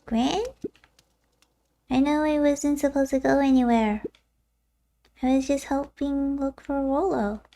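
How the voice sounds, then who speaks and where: Woman voicing a high-pitched, gentle young boy. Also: fairly even intonation, measured pace, pauses often. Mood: anxious, hesitant. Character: earnest, anxious young boy. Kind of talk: video game character dialogue read aloud.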